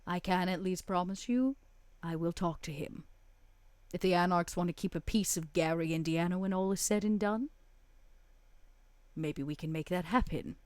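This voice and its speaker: A woman playing a poised, scheming female vampire power broker in a tabletop game, with theatrical, deliberate, flowery delivery. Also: on the low side, a little mellow, no room sound, natural voice. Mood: measured bargaining. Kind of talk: tabletop roleplay in character.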